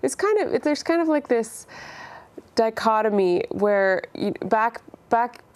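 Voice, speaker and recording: A woman's voice: fairly high pitch, expressive, unhurried, darkish tone. A young woman from Canada with a Canadian accent, an interview guest chatting casually and thinking aloud. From Canada, born in Ireland. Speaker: interview guest, singer-songwriter. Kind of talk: casual chat.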